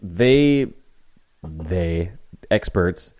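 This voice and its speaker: Very low-voiced man hosting a chat. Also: animated, very slow, darkish tone. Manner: laughing, joking, swears casually. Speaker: podcast host. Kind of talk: casual chat.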